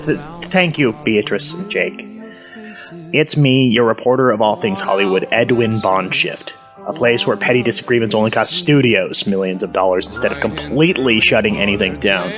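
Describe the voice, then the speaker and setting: Washed-up showbiz reporter, male, played by an actor: lower register, halting, distracted, hard-drinking, comedy podcast, music bed underneath. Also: natural voice. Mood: flustered. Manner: stammering, distracted, digressive. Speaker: entertainment reporter. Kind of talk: satirical fake news segment.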